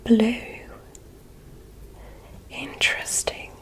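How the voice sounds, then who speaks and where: A woman voicing a curious, polite alien examiner in a whispered ASMR roleplay, speaking in a soft, deliberate, clinical manner. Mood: curious, gentle.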